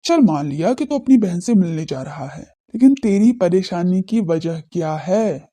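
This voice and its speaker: Woman voicing a dark, low, serious young man. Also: lively intonation, a little slow. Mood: probing, serious. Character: serious, brooding young men in a romance serial. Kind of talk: audio drama character dialogue.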